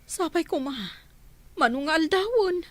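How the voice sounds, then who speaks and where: A woman's voice: very high-pitched, animated, normal speed, no echo. A woman playing a worried grown daughter in a radio drama. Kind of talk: Filipino radio drama acting.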